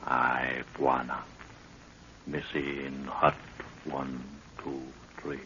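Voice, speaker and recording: An actor playing a loyal, stoic Maasai tracker who speaks broken English in short halting phrases, in a 1930s radio drama. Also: male voice, very deep voice, monotone, pushed and bright, full character voice. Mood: hushed, steady. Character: loyal Maasai chief's son, stoic fierce tracker servant. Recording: dull, noisy 1930s radio recording.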